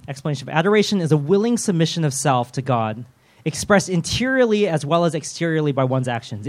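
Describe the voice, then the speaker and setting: Earnest soft-spoken male pastor. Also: on the high side. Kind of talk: sermon.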